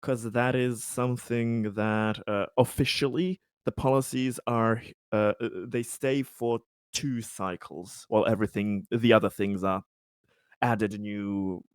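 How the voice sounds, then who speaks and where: A man playing in a tabletop role-playing game, speaking in a halting, deliberate way as he searches for words. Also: on the low side, steady tone, smooth, dry recording, studio quality. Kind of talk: role-play and game discussion.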